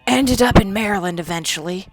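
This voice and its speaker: A woman's voice: medium pitch, a little fast, faint music behind, lightly acted, poor audio. A woman voicing a sardonic, crude young female ghoul, a former psychology student, in a game dialogue. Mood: wry. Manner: blunt, crude and defensive. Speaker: companion character. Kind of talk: fan-voiced game dialogue.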